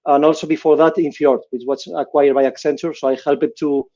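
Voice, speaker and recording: A man in his fifties with a Spanish accent and a fairly high but mellow voice, his sound coming through dull, lo-fi call audio. He restarts his phrases often and keeps checking in with a questioning "no?". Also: fairly even intonation, a little fast. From Spain. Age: 50s. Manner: hesitant, repeats words, tags sentences with "no?". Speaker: panelist.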